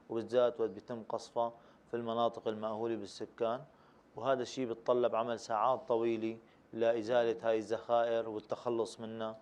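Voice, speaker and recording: A man answering questions at a public panel in a measured way, pausing on fillers. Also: on the low side, a little mellow, smooth. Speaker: rescue group head answering questions.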